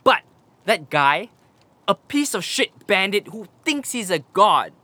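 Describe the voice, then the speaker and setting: A man's voice: high voice, big pitch swings, a little slow, sharp tone, no room sound, heavily acted. A man playing a sardonic, insolent bandit guardsman in an audio drama, with colloquial sarcasm.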